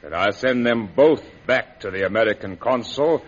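An actor playing a menacing, imperious villain in a 1930s-style radio serial, on a muffled old recording. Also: male voice, fairly low pitch, normal speed, lightly acted. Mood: threatening. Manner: imperious, threatening. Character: menacing, domineering villain set on ruling China.

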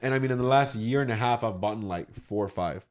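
A man's voice: mid-range voice, a little fast. A man in his thirties, a podcast host, talking in a laid-back, casual way full of "like" and "you know". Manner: laid-back, loose, filler-heavy talk with "like". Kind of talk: casual chat.

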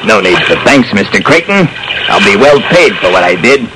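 An actor playing a burly, cocky, mercenary male salvage boatman in a classic radio drama, smug and rough-spoken. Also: on the low side, big pitch swings, moderate pace. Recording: noisy old radio broadcast.

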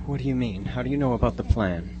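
A man's voice: mid-range voice, fast, muffled recording, noisy background, lightly acted. A man playing a doubting, bewildered young man in a radio horror drama, earnest and wondering. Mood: uneasy confusion.

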